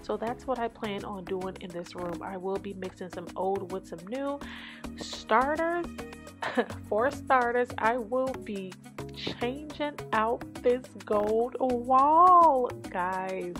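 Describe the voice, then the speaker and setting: Chatty woman vlogger with a high voice. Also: takes it slowly, music in the background. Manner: talks straight to viewers, laughs lightly, asides with "you guys". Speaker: home cleaning vlogger. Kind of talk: casual chatty voice-over.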